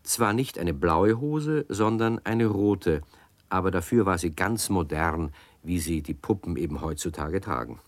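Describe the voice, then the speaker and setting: Deep, dry-sounding male narrator. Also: animated, a little slow, no echo. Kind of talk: scripted storybook narration.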